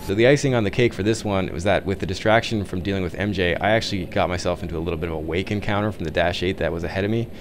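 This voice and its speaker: A man with a very deep voice narrates a vlog at a quick pace. His delivery is casual and self-deprecating, with faint noise behind it. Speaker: vlog host.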